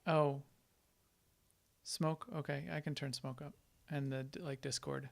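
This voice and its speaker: A man's voice: medium pitch, flat delivery, unhurried, creaky. A man live-streaming an online game, chatting casually and answering viewers' questions as he plays.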